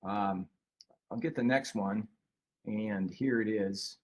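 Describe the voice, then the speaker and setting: A man's voice: on the low side, slow pace, darkish tone. An older man presenting a webinar in a casual, conversational way, with a folksy, rambling manner that often interrupts itself. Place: online video call.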